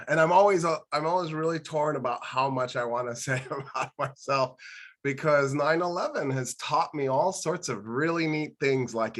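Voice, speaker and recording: A man's voice: mid-range voice, a bit bright. A man, a government professor, introducing himself on a video call panel in a wry, self-deprecating way.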